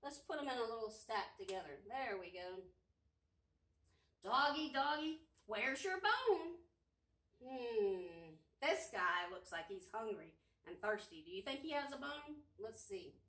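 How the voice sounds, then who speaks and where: A woman librarian leading an interactive storytime for young children, talking in an encouraging, animated way with sing-song questions. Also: fairly high pitch, unhurried. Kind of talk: interactive preschool storytime talk. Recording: roomy echo, distant microphone.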